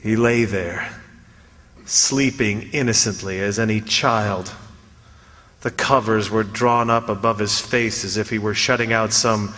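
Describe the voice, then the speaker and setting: A man's voice: on the low side, steady tone. Haunted condemned man, drenched in reverb. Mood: grim narration. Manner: dramatic, halting pleas and poetic, solemn narration. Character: frightened, desperate condemned man among the dead. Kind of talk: old-time radio theatre acting and first-person narration. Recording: long reverb, loud music behind.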